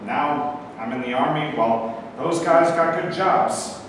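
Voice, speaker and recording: A man's voice: fairly high pitch, measured pace, natural voice. A man acting an earnest young soldier, echoey hall. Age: 20s. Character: earnest, hot-headed young soldier, devoted Dodgers fan. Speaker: actor in a staged reading. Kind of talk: live staged reading of a stage play. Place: large bare indoor room. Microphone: far camera. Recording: echoey hall, distant camera microphone, faint noise.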